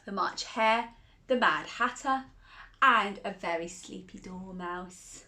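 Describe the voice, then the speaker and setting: A woman's voice: medium pitch, slow pace, bright, forceful tone. A woman reading a children's picture book aloud, chuckling often and asking the listener questions. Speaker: children's storyteller.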